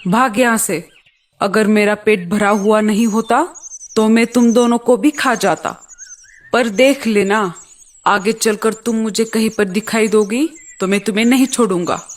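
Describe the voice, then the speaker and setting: A woman's voice: mid-range voice, measured pace, lightly acted. A woman voicing a menacing, gluttonous male eagle with threatening, taunting delivery in a children's cartoon story. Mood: angry, threatening.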